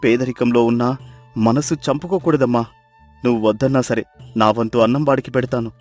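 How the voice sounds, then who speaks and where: A man's voice: medium pitch, steady tone, a little fast, darkish tone, no room sound, close to an everyday voice. A man playing a kind, poor fisherman in a dramatized moral story, speaking earnestly and with compassion. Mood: earnest, pleading. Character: kind, poor fisherman pleading for mercy on a stranger. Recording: music behind the voice.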